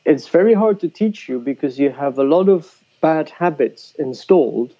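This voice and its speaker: Halting man with a dull lo-fi sound. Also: a little high, expressive, warm tone. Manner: hesitant, repeats words while thinking. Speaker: musician and teacher. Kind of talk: casual conversation.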